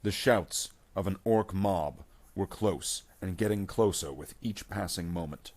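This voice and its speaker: A man reading a scripted action story aloud in a measured, dramatic way as its narrator. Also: low-pitched, darkish tone.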